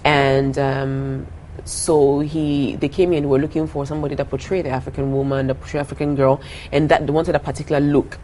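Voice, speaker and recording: Low-voiced young woman, earnest and laughing. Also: flat delivery, brisk, darkish tone, slight background noise. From Ghana. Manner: earnest, repeats herself, laughs easily. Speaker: singer guest. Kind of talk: casual interview talk.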